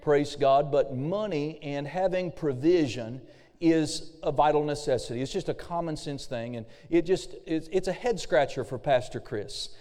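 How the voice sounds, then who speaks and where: Slightly hoarse man preaching in a big hall. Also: a little high, normal speed, warm tone. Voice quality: a little raspy. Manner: fervent preaching with frequent amens. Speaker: pastor. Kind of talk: sermon. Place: church sanctuary. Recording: long hall reverb.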